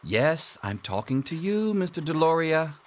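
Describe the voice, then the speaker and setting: An actor playing a dry, wry schoolteacher in a video game, sardonic and deadpan as he runs an exam. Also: fairly high pitch, a little hiss or hum. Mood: dry, official. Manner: deadpan, sardonic, drawn-out emphasis.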